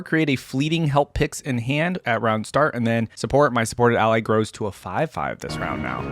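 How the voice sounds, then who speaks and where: Casual man reacting over music. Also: medium pitch. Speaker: reaction video host. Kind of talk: casual gaming reaction commentary.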